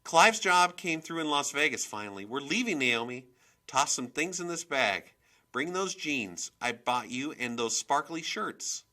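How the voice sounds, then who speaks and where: A man voicing a volatile, domineering mother in a storybook reading, with a sharp, scolding, emphatic manner. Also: fairly high pitch, very animated, a little slow, pitched above the performer's own voice, exaggerated voice. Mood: angry, bossy.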